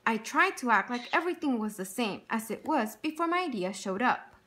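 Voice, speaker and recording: This is a woman with a bright, somewhat high voice and a very lively, sing-song pitch. She reads a storybook aloud as a teacher. She tells it expressively and keeps turning to her young listeners with gentle questions. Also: a little fast, slight background noise. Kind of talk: reading a picture book aloud to children.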